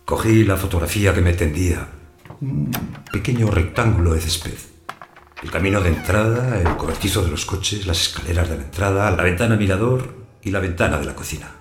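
An actor playing a wary householder who narrates his own story in a scripted audio drama, in a measured, observant way. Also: deep voice, expressive, slight background noise. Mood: brooding. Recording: echoey room sound, music behind.

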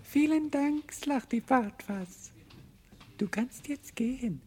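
Radio play mouse boss, extremely high-pitched, smug, condescending, commanding. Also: female voice, lively intonation, slow pace, dark tone, a little hiss or hum. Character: smug, businesslike male mouse ruling over humans.

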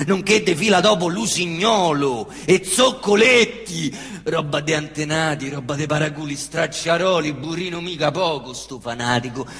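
A man acting a young Roman street hustler in a stage monologue, with a Roman accent, veering from muttering to shouting and cursing. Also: a little high. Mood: frantic. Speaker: stage actor. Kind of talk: theatrical monologue. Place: theatre stage. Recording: echoey theatre reverb.

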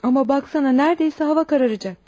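A woman's voice: high-pitched, rapid-fire, warm tone, close to an everyday voice. A woman playing an emotional, stubborn young village daughter who pleads and commands in a radio play. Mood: anxious. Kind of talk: Turkish radio theatre acting. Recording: noisy old radio play recording.

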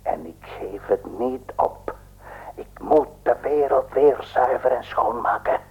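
An actor playing a deranged killer on the telephone in a radio play, with a hoarse, breathy whisper and a fanatical, mocking manner. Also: male voice, mid-range voice, expressive, slow, dull audio, full character voice. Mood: frenzied. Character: deranged male killer taunting police by telephone.

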